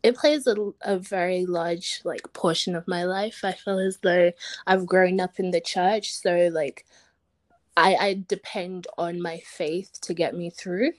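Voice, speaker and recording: A woman's voice: lowish voice, steady tone, a little slow, a little mellow. A young woman from Zimbabwe, a guest on a casual podcast, who talks chattily and fills her sentences with "like.". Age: young adult. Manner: chatty, says "like" constantly. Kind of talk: casual interview.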